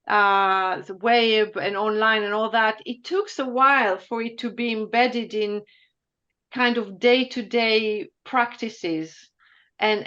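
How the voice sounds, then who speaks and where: A woman's voice: fairly high pitch, fairly bright tone, lo-fi sound. A woman economist thoughtfully answering questions in an online presentation.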